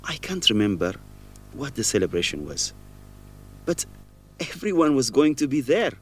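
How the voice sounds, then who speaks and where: A guilt-ridden young man in a radio drama. His delivery is earnest and halting, with a mid-range pitch that swings widely as he confesses. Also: sing-song, breathy edge. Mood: ashamed confessing. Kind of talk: educational radio drama acting.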